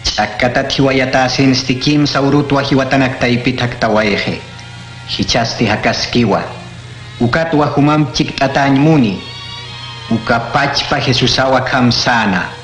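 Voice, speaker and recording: Hombre de voz media, lectura pausada. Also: male voice, medium pitch, fairly bright tone, roomy sound, faint music behind.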